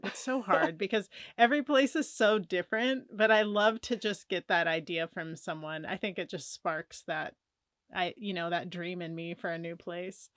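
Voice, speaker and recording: Woman host from the US, mid-pitched, eager and encouraging, dry sound. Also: a bit bright, dry recording. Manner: encouraging, exclaims often. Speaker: podcast host. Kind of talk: casual interview.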